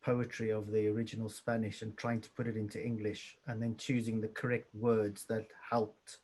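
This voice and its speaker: A man explaining his work as a translator in a panel discussion, in a measured way. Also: on the low side, flat delivery, darkish tone, husky, rough recording.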